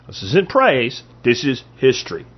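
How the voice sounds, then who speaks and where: A man's voice: mid-range voice, wildly swooping pitch, a little slow, slightly rough, dull audio. A man hosting a podcast, delivering an opinionated political monologue in an emphatic way, with rhetorical questions and repeated phrases. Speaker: podcast host.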